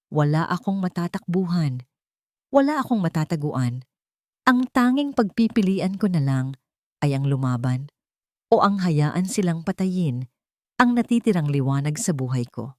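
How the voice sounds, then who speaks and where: A woman narrating a dramatic first-person story in a solemn, deliberate storytelling manner. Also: low-pitched, dark tone, no room sound, studio quality.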